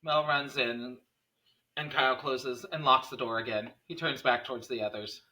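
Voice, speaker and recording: A man's voice: mid-range voice, steady tone, normal speed, bright, forceful tone, rough recording. A man narrating stage directions in a scripted screenplay table read.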